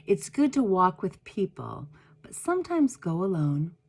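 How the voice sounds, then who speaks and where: A woman reading the part of a wise, patient old man in a storybook, giving gentle advice in a measured way. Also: mid-range voice, very animated. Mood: softly instructive. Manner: slow, measured, instructive.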